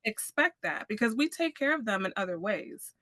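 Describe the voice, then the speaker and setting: A woman with a bright, fairly high voice talks animatedly in a casual chat, often checking in with her listeners. The sound is close and dry, with no room around it. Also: normal speed, no room sound. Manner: animated, acts out quotes, asks "you know what I'm saying?".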